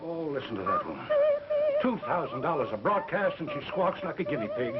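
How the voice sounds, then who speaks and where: A man's voice: high voice, huge pitch swings, fairly quick. A self-important, blustering businessman in vintage melodrama style. His delivery leaps all over the scale as he grumbles and gives orders. The soundtrack is old and muffled, with music playing behind him. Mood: irritated, blustering. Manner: pompous, bossy, grumbling. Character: blustering, irascible, self-important businessman, radio show sponsor. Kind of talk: 1930s film melodrama acting.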